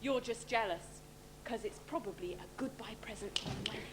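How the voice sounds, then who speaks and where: A woman's voice: fairly high pitch, normal speed, close to an everyday voice. A woman playing a cheeky, self-important boy hero in a live comic pantomime, with exclamatory, pompous stage delivery. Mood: cheeky, dismissive. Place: theatre stage before a live audience. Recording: noisy amateur stage recording with audience crowd.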